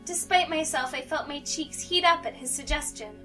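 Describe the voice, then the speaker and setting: A woman's voice: high-pitched, normal speed, pushed and bright, recorded from a distance, faint music behind. A young woman reading a visual novel game aloud in a playful way, mixed with casual banter. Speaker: let's play host.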